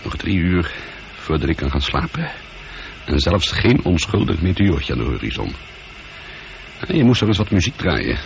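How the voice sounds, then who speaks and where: A tired, irritable astronaut in a radio drama. His pitch leaps dramatically as he grumbles with proud, sardonic bite. The sound is a dull vintage recording with faint noise. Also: sing-song, normal speed, creaky, pauses often, full character voice. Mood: weary, grumbling. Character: weary, irritable, proud middle-aged male astronaut alone aboard. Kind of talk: scripted radio play acting.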